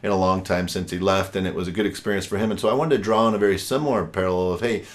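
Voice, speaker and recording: A man's voice: deep voice, rapid-fire. A man in his forties hosting a podcast interview, chatty and rambling, with frequent fillers. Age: 40s. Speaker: podcast host.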